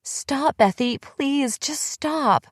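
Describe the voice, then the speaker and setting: A woman's voice: medium pitch, lively intonation, brisk, darkish tone, no echo, clean studio sound, voice pushed up, close to an everyday voice. A woman voicing an anxious young woman who pleads tearfully in an audiobook.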